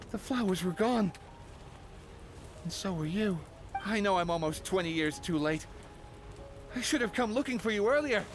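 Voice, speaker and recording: A heartbroken man wracked by regret, his voice pitched high and straining as he begs. Faint music plays under his game cutscene lines. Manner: anguished, pleading. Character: grieving man begging his lost love.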